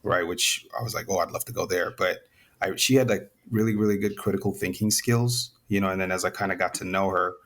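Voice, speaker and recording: A young man from Zambia, a guest in a casual interview, talking in a relaxed, rambling way with many restarts. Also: deep voice, fairly quick. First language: Nyanja.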